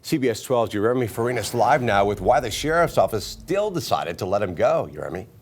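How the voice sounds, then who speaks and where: A man's voice: lowish voice, animated, a little fast. A man with an American accent reading scripted news as a television anchor.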